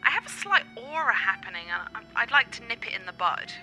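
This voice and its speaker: A woman playing a witty, theatrical sweetheart with a dark streak in a radio play, with an arch, dramatic delivery over music. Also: a little high, sing-song, fairly quick, heavily acted, low-quality recording. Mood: arch, teasing.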